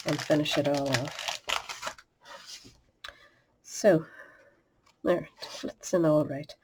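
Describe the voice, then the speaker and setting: A middle-aged woman talks her way through a card-making project in a chatty, homely manner. She sounds a bit sniffly from a cold and often drops into quiet muttered asides, over a faint background hiss. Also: low voice. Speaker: craft tutorial host. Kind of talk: step-by-step crafting demonstration.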